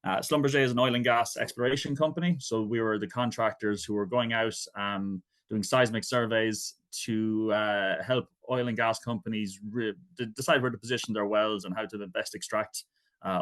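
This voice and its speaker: Irish man with a low voice, giving an easygoing lecture. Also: moderate pace. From Ireland. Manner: relaxed and conversational, with frequent asides. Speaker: guest lecturer. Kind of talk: online academic talk. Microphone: video call.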